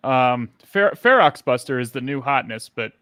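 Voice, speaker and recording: A man's voice: medium pitch, lively intonation, moderate pace, pushed and bright, smooth, no room sound. A man on a stream panel with a dry, wry way of talking. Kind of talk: casual tech chat.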